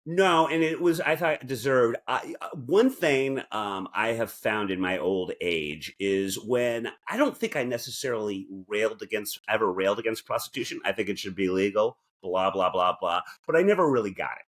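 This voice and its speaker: A middle-aged man co-hosting a podcast in casual comedic chat, rambling and joking with halting asides. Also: on the low side, lively intonation, fairly bright tone. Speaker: podcast co-host.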